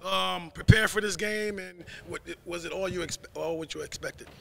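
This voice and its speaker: A man opening a post-game interview and putting casual, halting questions to a coach. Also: high-pitched, fairly even intonation, gravelly, noisy background. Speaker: sports interviewer.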